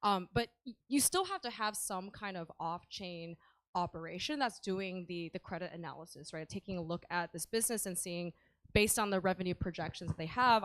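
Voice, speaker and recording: Woman panelist with a lowish voice. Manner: explanatory, frequent "right?" tags and "um" fillers; says she has a loud voice. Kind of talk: conference panel discussion. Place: conference stage.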